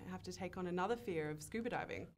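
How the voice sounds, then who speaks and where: Fast, chatty woman interviewer. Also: lowish voice. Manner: chatty, giggly, upbeat questioning. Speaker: film interviewer. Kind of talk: casual press junket interview.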